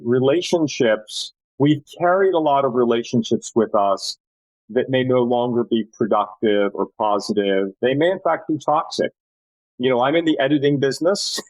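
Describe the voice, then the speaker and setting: Man, older podcast guest, medium pitch, dry close sound, measured, emphatic, conversational advice. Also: a little mellow, no room sound, rough recording. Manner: measured, emphatic, repeats key phrases.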